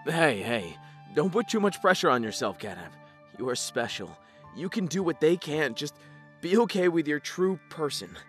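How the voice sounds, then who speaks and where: A man voicing a kind, troubled male dog creature who leads others in a cartoon, speaking earnestly and gently with some hesitation. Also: fairly high pitch, big pitch swings, normal speed, faint music behind. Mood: comforting. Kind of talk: fan-made cartoon voice acting.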